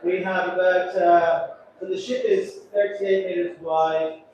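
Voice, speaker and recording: A man's voice: sits high, fairly even intonation, measured pace, darkish tone, highs cut off. A man, a cruise ship captain, giving a chatty, joking announcement to passengers. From Ireland. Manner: chatty, joking, self-deprecating. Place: large echoey atrium on a cruise ship. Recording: echoey hall from far off, crowd noise.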